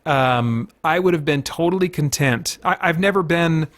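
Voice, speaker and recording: A man hosting a review show, talking in a casual, opinionated way with earnest, halting delivery and emphasis on key words. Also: on the high side, fairly bright tone. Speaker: host of a comics review series.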